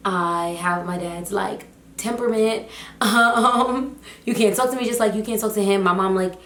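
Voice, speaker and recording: Young woman vlogger, slightly hoarse, chatty storyteller, mid pitch, echoey room. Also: normal speed. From United States, Haitian family. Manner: chatty, animated, lots of fillers. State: slightly hoarse, losing her voice. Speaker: vlogger, teacher. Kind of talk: casual storytime vlog.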